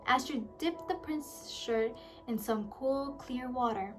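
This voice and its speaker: A woman reading a children's storybook aloud with lively, expressive narration. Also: high-pitched, slow pace, over music. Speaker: audiobook reader.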